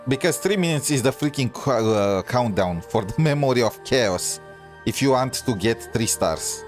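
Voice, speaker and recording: A man's voice: mid-range voice, animated, normal speed. A man giving casual reaction commentary as he streams a game. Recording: loud game music behind.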